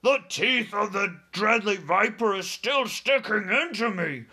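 A man voicing a terrified, crude, gullible man-eating giant who yells in broken grammar, in a storybook reading. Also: sits high, animated, unhurried, slightly rough, pitched above the performer's own voice, exaggerated voice. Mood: pained, panicked. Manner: yelling, ungrammatical panicked speech.

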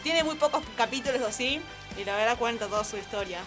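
Nervous high-voiced young Argentine woman. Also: expressive, fast. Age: young adult. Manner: nervous, flustered, self-correcting. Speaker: film and series columnist on youth radio. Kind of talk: informal radio column with banter. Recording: music playing behind the voice. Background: music.

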